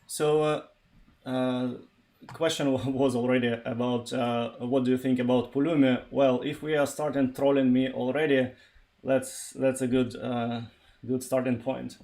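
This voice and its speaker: A man from eastern Ukraine, a native Russian speaker with a Russian accent, talking informally and haltingly on a tech livestream. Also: medium pitch, little pitch movement, a little mellow. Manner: halting, searching for words. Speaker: live streamer, software developer.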